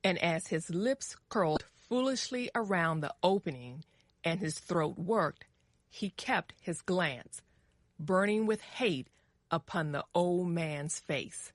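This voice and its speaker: A woman narrating a short story for English learners with deliberate, careful phrasing. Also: on the low side, animated, no echo.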